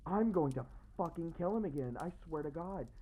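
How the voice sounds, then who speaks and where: A man voicing a flustered, excitable star being in a fantasy audio roleplay, exclaiming and rambling in agitation. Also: fairly high pitch, normal speed. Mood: frantic, exasperated. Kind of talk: ASMR roleplay acting.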